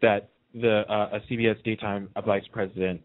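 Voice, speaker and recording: A low-pitched man comes through a narrow, phone-like line. He hosts a casual talk show, giving his opinions emphatically and repeating phrases to drive them home. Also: little pitch movement, normal speed. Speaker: radio show host. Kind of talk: casual opinionated soap opera chat.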